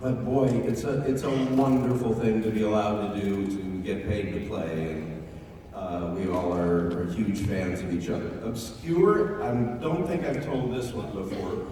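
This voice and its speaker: A man's voice: lowish voice, measured pace, mellow, a little hiss or hum. Mature man, conversational panel introduction, distant mic, long reverb of a convention hall. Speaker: veteran voice actor on a panel. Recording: echoey hall PA with crowd.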